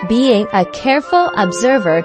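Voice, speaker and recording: A woman reading scripted fables for children in a measured, expressive storybook manner. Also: fairly high pitch, music in the background.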